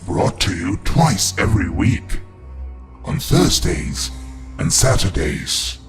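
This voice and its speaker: A man playing a gloating, demented evil master of dark creatures as a theatrical, sinister horror podcast host. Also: sits low, very animated, slow pace, full character voice. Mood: sinister, commanding. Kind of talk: in-character horror host monologue. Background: music.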